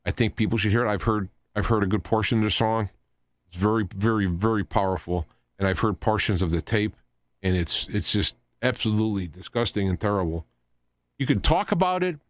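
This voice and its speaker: A man hosting a radio talk show, giving an unscripted commentary in a halting, rambling way, often repeating and restarting his phrases. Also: on the low side, no echo. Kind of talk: unscripted news commentary monologue.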